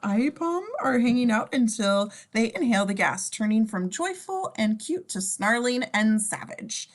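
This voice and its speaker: A woman's voice: fairly high pitch, lively intonation, unhurried. A woman reading scene descriptions for a table read, informal and given to wry asides. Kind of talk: scripted narration in casual table read.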